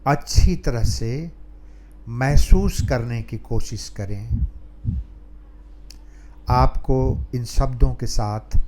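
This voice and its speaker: A man leading a guided meditation calmly, pausing between short phrases. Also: medium pitch. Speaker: meditation teacher.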